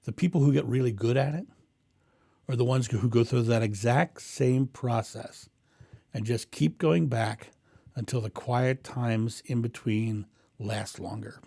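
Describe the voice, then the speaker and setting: A man with a booming voice hosting a live stream, answering viewers' questions in a rambling, animated way and acting out thoughts. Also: a little slow, creaky, slightly rough, dry recording. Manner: animated, acts out inner thoughts with raised voice; rambling with frequent ums and restarts. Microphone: condenser mic through a mixing board.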